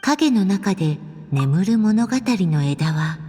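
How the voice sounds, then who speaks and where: A woman's voice: medium pitch, lively intonation, unhurried, lightly acted. A woman voicing a solemn fantasy game narrator in scripted, dramatic storytelling. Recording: long reverb over soft music.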